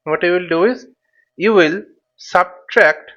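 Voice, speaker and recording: A man giving an explanatory tutorial as an instructor, thinking aloud in a halting, repetitive way and often checking in with short tags. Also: high-pitched, bright, forceful tone, highs cut off. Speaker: flight simulation instructor.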